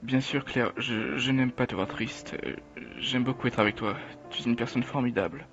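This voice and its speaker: A man's voice: medium pitch, normal speed, lo-fi sound, over music, lightly acted. A man voicing a kind, nervous teenage schoolboy who stammers and hesitates, in an amateur cartoon dub. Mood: shy, tender.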